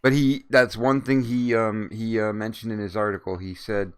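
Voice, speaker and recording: A man's voice: lowish voice, steady tone, moderate pace, smooth. A man hosting a casual interview who rambles, swears freely and laughs often. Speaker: interview host.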